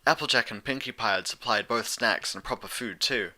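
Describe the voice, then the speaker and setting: A man's voice: mid-range voice, a little fast, bright, forceful tone. A man reading a story aloud as a scripted narrator. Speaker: fan fiction reader.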